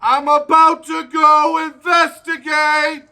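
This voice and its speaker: A man playing a loud, simple, overeager American soldier in a comedic stage radio play. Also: very high-pitched, completely flat delivery, takes it slowly, voice pushed up, full character voice. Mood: blustering. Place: outdoors, parking lot stage.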